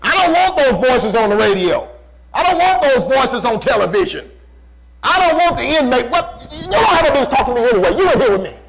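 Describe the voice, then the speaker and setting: A man's voice: very high-pitched, very animated, brisk, poor audio. A man preaching a fervent call-and-response sermon, emphatic, repeating phrases and asking rhetorical questions.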